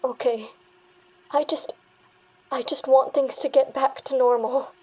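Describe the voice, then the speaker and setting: A woman's voice: high voice, steady tone, a little slow, noisy background. An actress playing an anxious young British woman in a naturalistic audio drama. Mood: anxious, bewildered. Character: anxious young woman doubting her own sanity.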